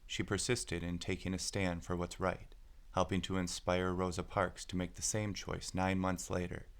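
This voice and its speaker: A man narrating a picture book aloud, phrasing it clearly with deliberate pauses. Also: sits low, little pitch movement. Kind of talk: scripted storybook narration.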